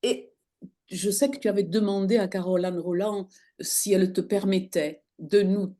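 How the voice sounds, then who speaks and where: A woman as an interview guest, telling her story conversationally, lively and often pausing with fillers as she searches for words. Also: medium pitch. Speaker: interview guest, holistic practitioner.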